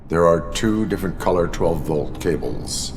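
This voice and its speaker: Man acting as a deadpan deep cyborg cop. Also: normal speed, natural voice. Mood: calm, methodical. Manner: deadpan, clipped, formal, robotic. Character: stoic male cyborg police officer. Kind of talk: video game voice acting. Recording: long reverb, faint background noise.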